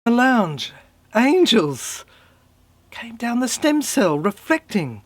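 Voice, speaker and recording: A woman acting a confused, grieving figure haunted by memory, in a fragmented, halting dramatic monologue. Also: fairly high pitch, very animated, full character voice. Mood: haunted, dreamy. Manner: fragmented, halting, intense delivery. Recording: clearly noisy recording.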